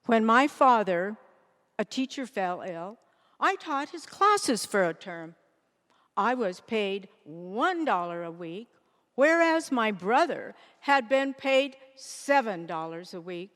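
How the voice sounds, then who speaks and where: Slow, dignified suffragist played by a woman, echoing hall. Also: medium pitch, sing-song, a bit bright. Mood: resolute. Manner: measured, deliberate oratory. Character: Lucy Stone, dignified resolute 19th-century women's rights pioneer. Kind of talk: scripted readers theater monologue. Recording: echoey hall with long reverb.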